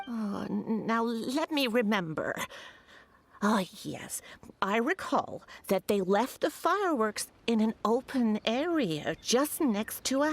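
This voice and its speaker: Slow, swooping old village granny. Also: female voice, fairly high pitch, full character voice. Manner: halting, wavering, ponderous. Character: elderly village granny, worried, respectful, slightly forgetful. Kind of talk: video game character acting.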